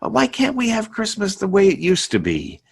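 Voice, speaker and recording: Casting: middle-aged American sitcom dad, indignant and nostalgic, rough-edged voice, big swings in intonation. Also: male voice, high voice, wildly swooping pitch, normal speed, exaggerated voice. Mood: wistful indignation. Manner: stammering, indignant, sarcastic. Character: exasperated middle-aged father nostalgic for simple Christmases. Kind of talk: live radio sitcom acting.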